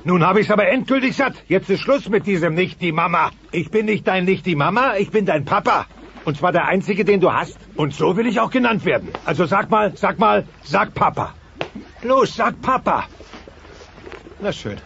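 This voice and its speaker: A man's voice: high-pitched, lively intonation, normal speed, vocal fry, fairly bright tone, full character voice. A man voicing a blustery, sentimental dinosaur father, clueless but loving, in a German family comedy audio play. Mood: exasperated, demanding. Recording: lo-fi cassette sound with faint noise.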